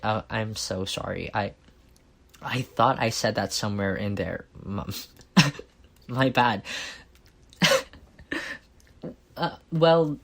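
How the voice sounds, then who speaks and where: Man acting a reserved young boyfriend type, gravelly fry, unhurried, soft-spoken, intimate ASMR roleplay. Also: medium pitch. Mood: flustered, apologetic. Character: reserved, analytical young man confessing love to best friend.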